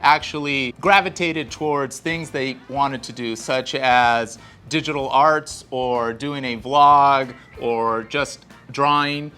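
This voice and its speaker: A man's voice: medium pitch, bright, forceful tone. A man co-presenting in an informal, upbeat way.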